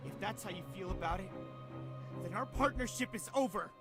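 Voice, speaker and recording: A man's voice: high voice, animated, fairly quick. An actor playing a remorseful, unhinged young man turned killer in a horror visual novel game. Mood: desperate, angry. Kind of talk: dramatic visual novel voice acting. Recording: music behind the voice.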